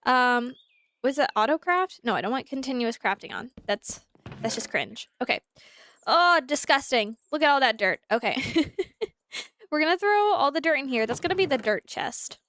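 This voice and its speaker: A woman's voice: high-pitched, expressive, moderate pace, a bit bright, no echo. A woman streaming a game live, chatting to her viewers and the game's animals in a rambling way, slightly nasal from congested sinuses. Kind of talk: casual live gameplay chat. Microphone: desk mic at a computer.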